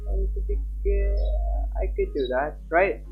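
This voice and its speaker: A man's voice: sits high, drawn-out words. A young man chatting casually on a game livestream and reading story text aloud, loose and repetitive in his talk. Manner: chatty, loose, repeats himself. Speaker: livestreamer, host. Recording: dull lo-fi mic with background music. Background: game background music.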